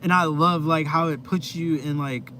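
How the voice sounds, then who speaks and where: A man's voice: on the high side, fairly even intonation, normal speed, sharp tone. A young man hosting a casual live stream from inside his van, chatting with viewers in a laid-back, rambling way with lots of fillers. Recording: noisy vehicle ambience.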